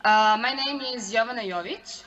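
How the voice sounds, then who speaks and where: A woman of about twenty with a Serbian accent, giving a careful, halting presentation over a video call as a youth portal editor. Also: a little high, a bit bright, lo-fi sound, slight background noise. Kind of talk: conference presentation.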